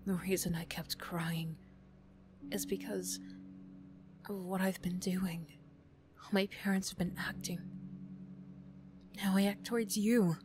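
A woman's voice: mid-range voice, steady tone, slow pace, pauses often, close to an everyday voice. A woman voicing a defiant, guilt-ridden young female bully in an emotional ASMR roleplay, blunt and defensive. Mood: hushed, remorseful.

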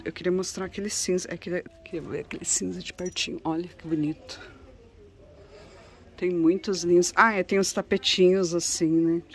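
A woman with a Brazilian accent chatting casually and admiringly to viewers as she vlogs inside a shop, often ending on little tag questions. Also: fairly low pitch. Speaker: vlogger showing shop goods to viewers. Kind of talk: casual shopping vlog chat. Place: inside a cluttered home textiles shop.

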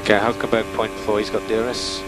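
A man working as a race engineer gives calm, methodical, terse instructions over team radio, repeating key calls. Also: fairly low pitch, fast talker, loud music behind the voice. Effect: team radio transmission. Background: vehicle hum and noise.

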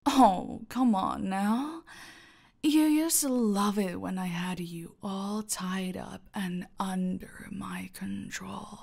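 A woman playing a gloating, menacing female cartel boss in an audio roleplay, commanding and taunting, often dropping to a breathy murmur. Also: mid-range voice, slow pace, a little mellow. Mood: smug, menacing.

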